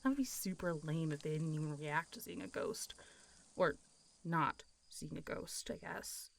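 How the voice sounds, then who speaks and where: A woman's voice: deep voice, unhurried, gravelly, full character voice. A woman playing a friendly, teasing, centuries-old zombie girl in an intimate roleplay audio drama, talking in a casual, playful way. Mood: amused. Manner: casual, playful, teasing, often laughing and sighing.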